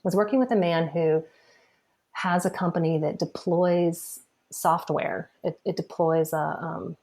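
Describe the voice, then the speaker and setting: Chatty woman marketing consultant, lowish voice. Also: fairly even intonation, unhurried, vocal fry, darkish tone. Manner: chatty, laughs often, restarts phrases. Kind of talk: casual interview conversation. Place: podcast recording.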